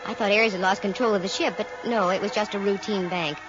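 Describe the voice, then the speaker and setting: An American actress playing a sharp-witted, wisecracking woman private detective in old radio drama style, wry and breezy. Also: mid-range voice, steady tone, fast, natural voice. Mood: wry narrating. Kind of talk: 1940s-50s radio detective acting, first-person narration. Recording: muffled vintage radio recording with music.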